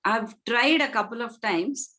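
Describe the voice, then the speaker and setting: A woman's voice: on the high side, sharp tone. Retired woman introducing herself politely. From India. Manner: measured, polite self-introduction. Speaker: student. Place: video call.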